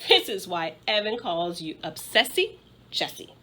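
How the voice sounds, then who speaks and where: A woman's voice: lowish voice, very animated, unhurried, bright, forceful tone, heavily acted. A woman voicing a restless, bored, blunt schoolgirl in a children's storybook, with a whiny, pointed way of talking. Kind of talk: children's storybook read-aloud.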